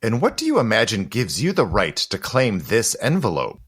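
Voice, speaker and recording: An actor playing a commanding gentleman suitor in a period audio drama, formal and clipped in manner, suspicious and grieving. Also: on the low side, huge pitch swings, normal speed, slightly rough, exaggerated voice. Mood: suspicious, menacing.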